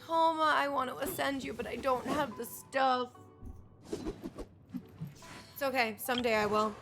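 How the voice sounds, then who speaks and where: A woman's voice: high-pitched, fairly even intonation, unhurried. A woman doing casual live commentary on a game, playfully talking to herself and to the characters. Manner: playful, talks to characters and to self. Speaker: game livestreamer. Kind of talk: casual live playthrough commentary, chatting back at the game's characters. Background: game music.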